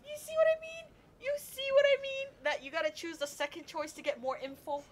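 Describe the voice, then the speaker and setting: A woman's voice: very high-pitched, sing-song, fairly quick. Squealing, gushing young woman streamer. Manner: gushing fangirl, rambling, squealing. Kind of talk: excited live game commentary. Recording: game music playing under the voice. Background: game music.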